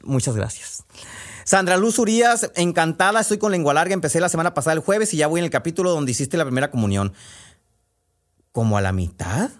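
A man with a Sonoran Mexican accent hosting a podcast, chatting casually in a folksy, halting way with asides as he reads out messages. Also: a little high, sing-song. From Sonora, northwest Mexico. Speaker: podcast host and storyteller. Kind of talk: casual chat, reading out listener messages.

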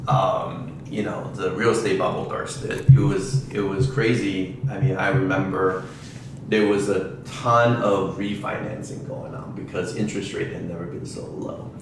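A man who grew up in Taiwan, a real estate attorney giving halting answers with many ums in a casual interview. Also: sits low, fairly even intonation, creaky, background noise. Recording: distant echoey mic with music behind.

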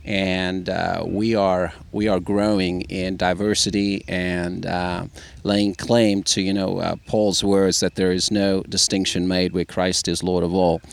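A middle-aged pastor with a South African accent tinged with Canadian, talking thoughtfully in a casual podcast interview outdoors. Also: male voice, low voice, level tone, gravelly. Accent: South African with a touch of Canadian. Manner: thoughtful, measured, with fillers. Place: outdoors in nature.

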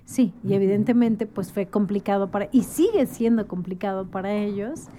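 A woman's voice: medium pitch, moderate pace, dark tone, slight background noise. A woman talking as a guest in a casual conversation, explaining things like an informal storyteller and often checking for agreement. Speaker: interview guest.

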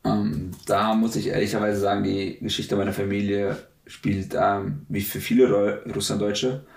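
Low, gravelly man in an echoey room. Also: little pitch movement, moderate pace, darkish tone. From Russia. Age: 30s. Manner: hesitant, many filler sounds. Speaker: interviewee. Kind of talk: conversational interview. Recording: echoey room, distant mic.

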